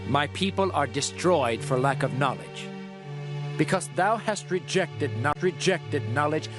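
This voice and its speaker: Solemn higher-voiced man reading scripture over music. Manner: solemn, declamatory. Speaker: narrator reading as the prophet. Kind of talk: dramatized scripture narration.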